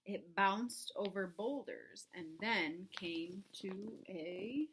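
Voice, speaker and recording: A woman reading a picture book aloud for young children, expressive and dramatic, with chatty asides to her listeners. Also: medium pitch, extremely slow, a little hiss or hum, low-quality recording. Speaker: children's read-aloud presenter. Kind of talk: animated storybook read-aloud for young children.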